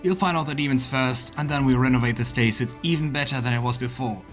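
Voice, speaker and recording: A man's voice: medium pitch, fast, fairly bright tone, natural voice. A man voicing an upbeat, chatty, optimistic shopkeeper in a fantasy game. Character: upbeat, optimistic male shopkeeper planning renovations. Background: game music.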